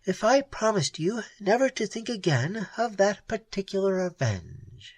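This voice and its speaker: A reader voicing a proud young woman outlaw who turns from soft and wistful to shrill anger, in an audiobook. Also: huge pitch swings, a little slow, slightly rough, no room sound, heavily acted. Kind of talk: audiobook character voice.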